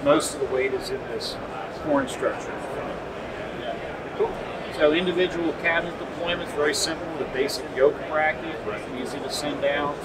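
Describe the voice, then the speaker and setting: A man in his forties giving a measured, technical product demonstration as a company representative. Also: a little high, steady tone, very noisy. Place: busy indoor convention hall booth. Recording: loud trade show hall, distant mic. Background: loud exhibition hall ambience.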